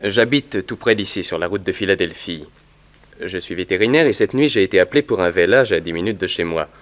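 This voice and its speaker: An earnest country vet played by a man in a 1960s French radio serial, with a low voice. He gives his account politely and deliberately, in careful order. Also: lightly acted. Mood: earnest, helpful. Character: earnest, polite male veterinarian giving witness testimony. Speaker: actor in a detective radio serial.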